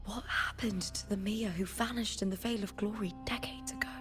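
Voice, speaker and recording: A woman's voice: medium pitch, steady tone, moderate pace, close to an everyday voice. A woman voicing a solemn, reverent young figure in a fantasy video game, in scripted story dialogue. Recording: echoey long reverb, music behind.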